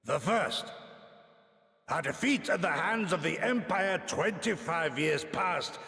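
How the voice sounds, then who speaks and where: An actor playing an aging, proud samurai in a game cutscene, solemn and humble, speaking in formal, archaic, halting oratory with a gravelly voice. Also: male voice, a little high, expressive, bright, forceful tone, exaggerated voice. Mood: remorseful. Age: older. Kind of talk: dramatic game cutscene acting. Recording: echoey hall with long reverb.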